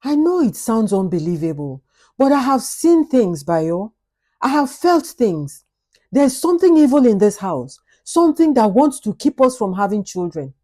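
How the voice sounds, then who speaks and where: Female storyteller as a desperate young wife: earnest, pleading, determined, mellow voice, for folktale drama. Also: a little high, very animated, moderate pace. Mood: frightened, insistent.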